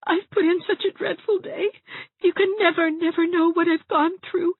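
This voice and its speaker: A woman's voice: very high-pitched, fairly even intonation, moderate pace, no echo, pitched above the performer's own voice. A woman voicing a frightened, self-pitying young bride-to-be in an audiobook, sobbing and stammering as she wails. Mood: tearful, whiny. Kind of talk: audiobook character voice. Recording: thin phone-like narrow-band sound.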